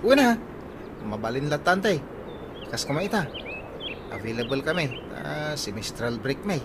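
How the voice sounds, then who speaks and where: A man plays an eager young student with an Ilocano accent in a radio comedy drama. His pitch is on the high side and jumps around. Birds and noise are clearly heard behind him. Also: big pitch swings, unhurried.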